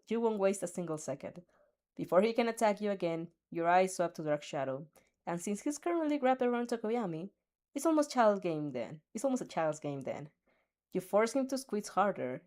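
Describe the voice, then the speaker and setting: A woman's voice: medium pitch, a little mellow. A woman reading a fan story aloud in second person, often stumbling over words and restarting. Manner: reads with frequent slips, restarts and giggles. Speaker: fanfiction reader.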